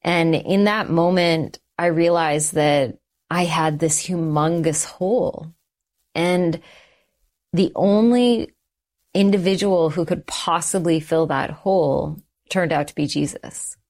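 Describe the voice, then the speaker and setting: A middle-aged woman guest giving an earnest, reflective personal testimony in short, measured phrases. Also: lowish voice, darkish tone. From Canada, the United States and Sri Lanka. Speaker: interview guest.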